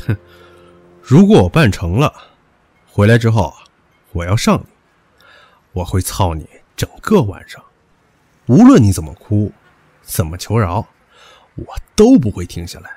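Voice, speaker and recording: An actor playing a hot-tempered, possessive young rich heir in an audio drama, brash and taunting. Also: male voice, on the low side, wildly swooping pitch, unhurried, pauses often, warm tone, slightly rough, no echo, full character voice. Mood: cocky, menacing. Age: young adult. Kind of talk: Chinese audio drama acting.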